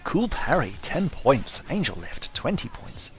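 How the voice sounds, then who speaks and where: A man's voice: mid-range voice, sing-song, measured pace, faint music behind, low-quality recording. A man giving casual gameplay commentary as a video host, wry, understated and self-deprecating.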